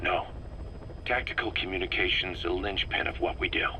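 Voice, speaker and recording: A man's voice: low-pitched, moderate pace, lightly acted. A man playing a tough, pragmatic military commander in a video game, speaking in a terse, firm, businesslike way. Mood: concerned, terse. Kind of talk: dramatic video game voice acting. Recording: faintly noisy field tape.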